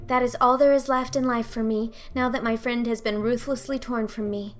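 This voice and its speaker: A woman's voice: fairly high pitch, fairly even intonation, moderate pace, voice pushed up, lightly acted. A woman voicing a melodramatic, imaginative young girl in a storybook reading, gushing in flowery, old-fashioned phrases. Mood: mournful, resigned.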